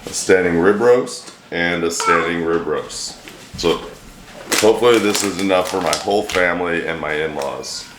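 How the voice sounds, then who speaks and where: Deep-voiced man joking in his kitchen. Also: moderate pace. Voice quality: deep, a little hoarse from a throat bug. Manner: joking, rambling, dry self-deprecating humor. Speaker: vlogger, home cook. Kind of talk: casual cooking vlog chat. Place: home kitchen. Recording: echoey kitchen, faint hiss.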